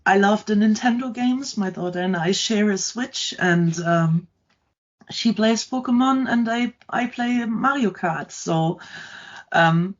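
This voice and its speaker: Slow, halting middle-aged woman. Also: medium pitch, muffled recording. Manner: halting, many ums and restarts. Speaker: guest, content creator. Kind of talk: casual interview chat.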